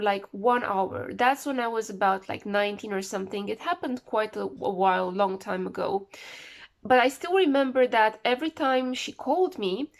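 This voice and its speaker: A woman with the flu talking casually to camera, rambling and repeating words for emphasis. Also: fairly high pitch. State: sick with flu, voice a bit off. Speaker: vlogger.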